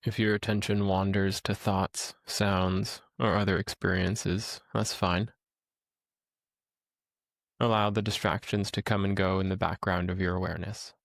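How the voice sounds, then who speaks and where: A man leading a guided meditation as a teacher, speaking in a calm, gentle, instructional way with pauses. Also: low voice, gravelly, no echo, studio quality.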